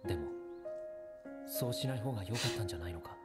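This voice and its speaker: Man acting a low, brooding young anime man. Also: expressive, racing, natural voice. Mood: regretful. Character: weary, bitter young man narrating his memories. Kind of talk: scripted anime monologue. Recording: played from a show, distant, under music.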